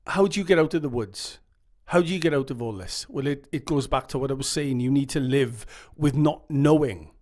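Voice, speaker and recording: A man with a Welsh accent giving earnest, rambling advice straight to his viewers. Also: on the high side. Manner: rambling, earnest, reads quotes dramatically. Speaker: YouTube channel host. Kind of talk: casual advice monologue to camera.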